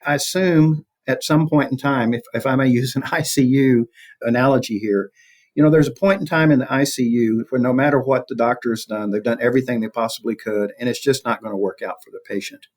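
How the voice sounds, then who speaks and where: A man's voice: medium pitch, brisk. A man speaking as an expert interview guest, explaining things in a conversational, reflective way and rephrasing as he goes.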